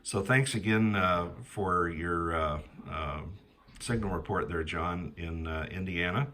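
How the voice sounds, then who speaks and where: A middle-aged American man chatting casually as an amateur radio operator, with a halting, pause-filled delivery. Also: deep voice, sharp tone. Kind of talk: casual ham radio conversation.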